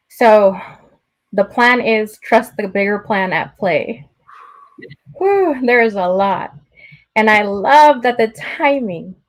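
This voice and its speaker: A woman hosting a casual video call conversation, affirming and slipping Spanish phrases into her English. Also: medium pitch. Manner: affirming, frequent "right?" tags. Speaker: host.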